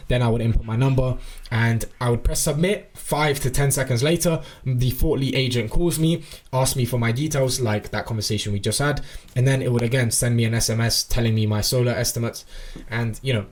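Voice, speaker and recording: A man giving an informal, explanatory software walkthrough, thinking aloud as he presents. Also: mid-range voice. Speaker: tutorial presenter.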